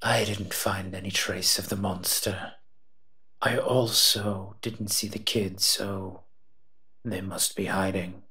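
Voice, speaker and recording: Monster companion played by a man: lowish, forceful voice, sardonic and menacing yet protective, for audio roleplay. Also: level tone, takes it slowly, full character voice. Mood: teasing, amused. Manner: teasing, sardonic, muttering asides. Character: eight-foot male smoke monster, sardonic, menacing, protective. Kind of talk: scripted roleplay audio drama.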